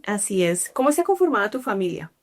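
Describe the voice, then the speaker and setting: A woman's voice: medium pitch, big pitch swings, quick pace. A woman hosting an interview podcast, reading a scripted introduction and asking encouraging questions. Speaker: podcast host.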